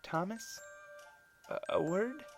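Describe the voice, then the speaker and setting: A jealous young bride speaks harshly and coldly, as a storyteller voices her. Her voice is raised well above a plain speaking pitch. A quiet music bed plays beneath. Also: expressive, slow pace. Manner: harsh, cold.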